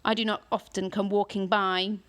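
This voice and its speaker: A woman voicing a booming ancient tree monster. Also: level tone, fairly bright tone, lightly acted. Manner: slow booming declamation. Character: huge ancient male tree monster, commanding.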